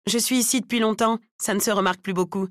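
A woman's voice: mid-range voice, fairly even intonation, quick pace, dry recording, clean studio sound, close to an everyday voice. A woman voicing a frank, casual, opinionated woman from South Africa, a salon customer in an audiobook.

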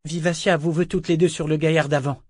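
Man putting on a fierce blonde woman, commanding, urgent, higher voice. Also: little pitch movement, fairly quick, a little mellow, no echo, studio quality, lightly acted. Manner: imperious, urgent.

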